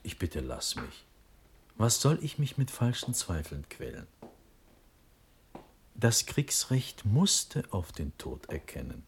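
An actor playing an impulsive, trusting young Prussian prince in a classical verse radio play, with declamatory, impassioned delivery that moves from urgent to hushed. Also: male voice, low-pitched, sing-song, slow pace, pauses often, a little hiss or hum, heavily acted. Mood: fervently trusting.

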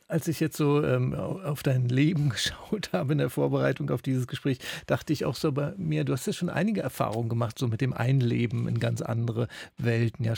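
A man's voice: mid-range voice, creaky, dark tone, dry recording. A man hosting an interview, asking questions in a conversational way. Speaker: interviewer, radio host.

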